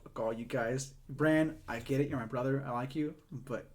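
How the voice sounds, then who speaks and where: A man's voice: medium pitch, normal speed. A young man co-hosting a podcast, chatting casually and retelling scenes with laughs. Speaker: podcast co-host. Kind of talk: casual chat.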